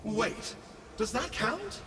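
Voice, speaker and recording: An actor playing a sly, flippant villain scholar in a video game, glib and theatrically mocking. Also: male voice, very high-pitched, very animated, slow pace, creaky, noisy background, full character voice. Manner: theatrical, glib, mock-dramatic, teasing. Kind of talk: video game character acting. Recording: long reverb, music behind.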